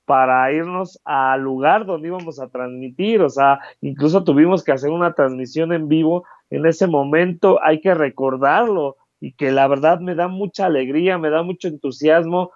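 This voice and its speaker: A man's voice: on the high side, normal speed, few pauses, no echo. A man with a Mexican Spanish accent co-hosting political commentary, speaking earnestly and repeating phrases for emphasis. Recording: dry, dull lo-fi sound.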